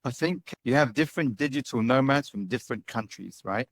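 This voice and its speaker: A man's voice: mid-range voice, lively intonation, a little fast, no room sound, studio quality. A middle-aged man from the UK, a guest giving chatty, casual interview answers and tagging his points with "right?". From United Kingdom. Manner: chatty, tags points with "right?" and "you know". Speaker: guest, digital nomad and business owner.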